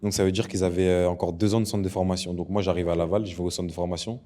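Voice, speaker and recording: A young man from Ivory Coast, a professional footballer, chatting casually as a guest, relaxed and slangy, repeating himself often. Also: deep voice, rapid-fire. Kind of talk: casual interview chat.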